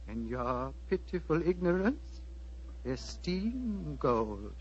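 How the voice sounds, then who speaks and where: A man's voice: medium pitch, lightly acted. An actor playing a grave, courteous old Tibetan abbot with a formal, fatherly manner, in 1940s radio style. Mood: gently reproving. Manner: measured, formal, paternal. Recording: muffled, noisy old 1940s radio broadcast.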